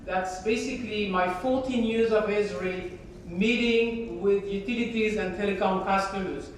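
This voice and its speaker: A woman from France with a French accent giving a conference keynote in a relaxed, conversational way, with frequent pauses and fillers. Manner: conversational, hesitant, many fillers. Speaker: keynote speaker. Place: large conference hall. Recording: very echoey hall from far off, faint rumble.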